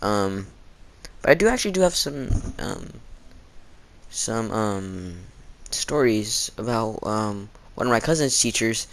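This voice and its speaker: A teenage boy telling stories in a casual, rambling, halting way, with slangy wording and a sore throat he often clears. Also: fairly low pitch, lively intonation. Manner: halting, informal, slangy, dramatizing quotes. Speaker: video storyteller.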